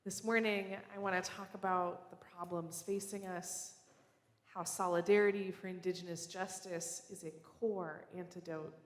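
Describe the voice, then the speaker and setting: A preacher giving an earnest, measured and personal sermon in a church sanctuary, speaking as a guest. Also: female voice, on the low side. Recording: echoey church hall.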